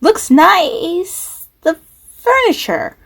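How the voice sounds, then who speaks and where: A woman's voice: very high voice, sing-song, very slow, exaggerated voice. An actress playing a friendly young woman who apologizes for her father.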